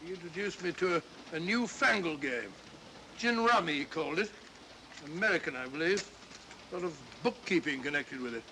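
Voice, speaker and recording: An actor playing a chatty, slightly gullible British gentleman, a detective's companion, bluff and halting, in 1940s mystery film style. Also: on the high side, animated. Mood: jovial, chatty. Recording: noisy old film soundtrack.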